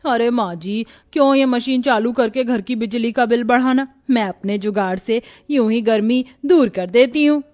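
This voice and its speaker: Lady doing a naive young village bride for a cartoon story, highish voice, comic and thrifty. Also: moderate pace, few pauses, a little mellow, natural voice. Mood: cheerfully matter-of-fact. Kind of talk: cartoon moral story dialogue.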